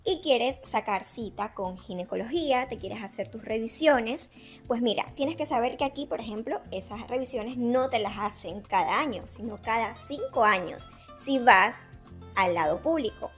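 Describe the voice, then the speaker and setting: A woman's voice: on the high side, lively intonation, normal speed, fairly bright tone, faint music behind. An Ecuadorian woman from Ecuador chatting casually to camera, explaining with examples and speaking straight to the viewer. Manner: chatty, gives examples, addresses the viewer directly. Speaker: interviewee sharing her experience. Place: Barcelona.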